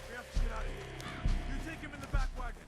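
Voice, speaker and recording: A man's voice: very high voice, fast. An actor playing a distraught, urgent ranch worker man in a western video game. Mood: panicked. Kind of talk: video game dramatic acting. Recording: very loud music over voice.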